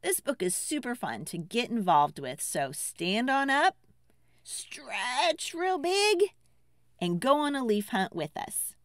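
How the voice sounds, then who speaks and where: A woman reads a picture book aloud to children as a storyteller. Her voice sits fairly high and swoops in a very lively way. She takes the rhythmic lines at a slow pace, theatrically, inviting listeners to join in. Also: no room sound, studio quality.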